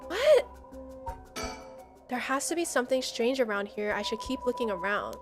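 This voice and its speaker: A woman's voice: on the high side, lively intonation, moderate pace. A woman game streamer playing a horror game live, reading text aloud and reacting in a dramatic, slangy way. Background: game music.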